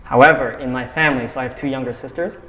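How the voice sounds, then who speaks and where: A man's voice: medium pitch, steady tone, fairly bright tone, slight background noise. Thoughtful young man, echoey room. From United States. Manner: thoughtful, measured explaining. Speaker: discussion participant. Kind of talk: casual discussion. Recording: roomy echo.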